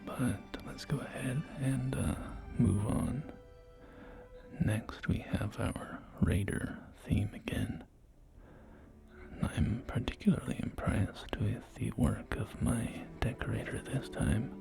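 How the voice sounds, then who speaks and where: A whispered, breathy ASMR roleplay voice playing a polite, genteel real estate agent, unhurried and hesitant, with refined phrasing. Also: mid-range voice. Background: soft music.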